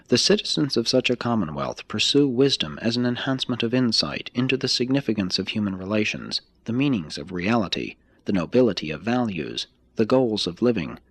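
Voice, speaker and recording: A man reading a scripted spiritual text like an audiobook, in a measured, emphatic manner. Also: fairly low pitch, fairly bright tone, husky, no echo.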